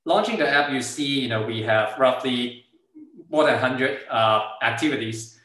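A man with a Hong Kong accent, native in Cantonese, presents informally as a startup founder. His voice is bright and sharp at an ordinary pitch. It comes over a video call from a strongly echoing room. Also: lively intonation, normal speed. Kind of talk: informal slide presentation with product demo.

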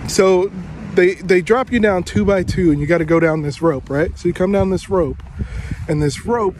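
A young man recounts a trip in a loose, animated way, hamming up the scary parts as he goes. His voice sits a touch high for a man, and a faint hum of outdoor traffic runs under the phone recording. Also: normal speed. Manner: animated, exaggerating for effect, lots of fillers. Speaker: travel vlogger. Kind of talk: casual storytelling to camera. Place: outdoors among trees.

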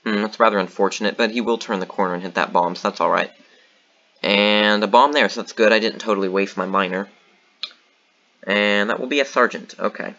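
Low-voiced man thinking aloud over a game. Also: fairly quick, highs cut off. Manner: thinking aloud, relaxed, many fillers. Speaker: game commentator. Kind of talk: casual gameplay commentary.

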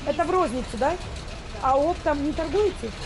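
A woman with a creaky voice doing a casual market walkthrough, questioning vendors and addressing viewers affectionately. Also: high voice, brisk. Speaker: market vlogger. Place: busy open-air wholesale market. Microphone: handheld camera. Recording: busy crowded market noise.

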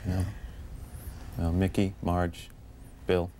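American guy hosting morning tv, really low voice, bit of background noise. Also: monotone, slow pace, warm tone. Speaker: TV host. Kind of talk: morning show interview. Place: television studio.

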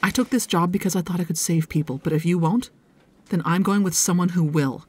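Woman playing a husky, defiant deputy. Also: fairly low pitch, fairly quick, a little mellow. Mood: furious, hurt. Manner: blunt, accusing. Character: defiant, grieving female deputy. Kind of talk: audio drama acting.

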